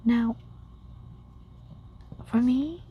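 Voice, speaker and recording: Softly whispering woman teacher. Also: fairly high pitch, muffled recording, slight background noise. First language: Spanish. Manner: soft whisper, repeats each word slowly. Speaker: language teacher. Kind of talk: whispered ASMR language lesson.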